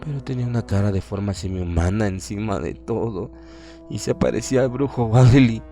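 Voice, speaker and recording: Un hombre que interpreta a un aldeano horrorizado, angustiado, que habla entrecortado y se repite en una radionovela de terror. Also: male voice, lowish voice, music in the background, lightly acted. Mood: horrified, anguished. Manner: anguished, halting, repeating himself. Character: horrified villager recounting a monstrous vision. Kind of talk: horror radio drama acting.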